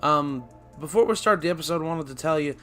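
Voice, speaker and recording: Hoarse man chatting over gameplay. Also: a little high, quick pace, bright, forceful tone, faint music behind. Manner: rambling, self-correcting, chatty. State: losing his voice, raspy. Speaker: let's play host. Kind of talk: casual gameplay commentary.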